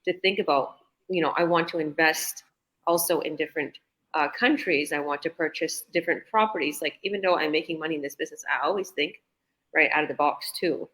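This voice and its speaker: A woman's voice: deep voice, fairly quick, a bit bright, rough recording. A woman, an online business owner, chatting enthusiastically on a group video call with many restarts.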